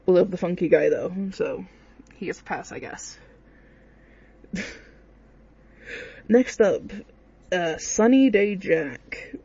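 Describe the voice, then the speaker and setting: Slow-paced rambling game commentator, slightly muffled recording. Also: medium pitch, expressive, warm tone, breathy edge. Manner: hesitant, rambling, informal. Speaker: video creator, commentator. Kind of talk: casual rambling commentary on horror games. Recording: dull lo-fi microphone sound.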